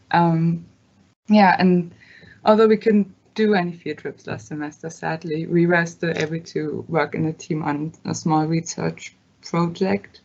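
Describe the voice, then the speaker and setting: Young German woman, low flat gravelly voice. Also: muffled recording. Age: young adult. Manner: hesitant, many fillers, laughs between phrases. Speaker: student ambassador. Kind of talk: casual talk at an online open day.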